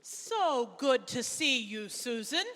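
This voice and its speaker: A woman playing a dignified suffragist leader in a historical readers theater, with a formal, measured delivery. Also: a little high, animated, a bit bright, a little hiss or hum. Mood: fond, reminiscing. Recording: echoey hall with long reverb.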